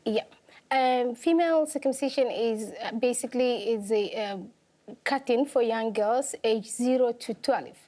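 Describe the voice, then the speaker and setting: A woman's voice: fairly high pitch, unhurried, mellow. A young woman from Somalia, speaking earnestly as an interview guest. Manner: earnest, simple phrasing, laughs easily. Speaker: guest, activist. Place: television studio.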